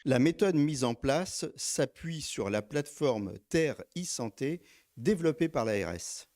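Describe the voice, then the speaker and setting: A man's voice: mid-range voice, lively intonation, unhurried, a little mellow. A man working as a reporter, reading scripted narration and putting formal interview questions.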